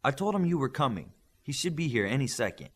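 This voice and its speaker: A man's voice: medium pitch, sing-song, quick pace, a bit bright, husky, voice pushed up. A man voicing a hospitable, polite Japanese mother and scientist in an audiobook, with a welcoming, courteous manner.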